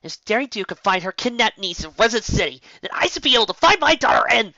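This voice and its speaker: A narrator voicing a brash, hot-tempered female cartoon pegasus pony, fiercely protective, with urgent, forceful exclamations. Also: medium pitch, very animated, fast, no room sound, muffled recording, pitched above the performer's own voice. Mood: frantic, angry.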